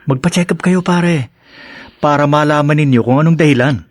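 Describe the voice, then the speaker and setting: A man's voice: a little high, moderate pace, dry recording, clean studio sound, natural voice. A man playing a pushy friend in a radio drama, teasing and insistent. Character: pushy male friend giving bad advice.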